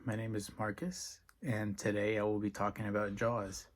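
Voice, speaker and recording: A young man giving a class lecture, talking casually with dry joking asides, his voice a little rough from being sick. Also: lowish voice, flat delivery, normal speed, slight background noise. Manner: dry jokey asides, plain delivery. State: voice recovering from strep, a little rough. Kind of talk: casual recorded class presentation.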